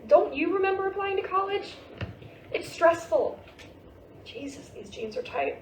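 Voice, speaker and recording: A woman's voice: very high-pitched, lively intonation, unhurried. A woman playing a sarcastic, stressed teenage daughter in a staged play reading, talking in flippant slang. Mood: teasing, defensive. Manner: flippant, slangy, brushing off worries. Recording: roomy, distant mic with hiss.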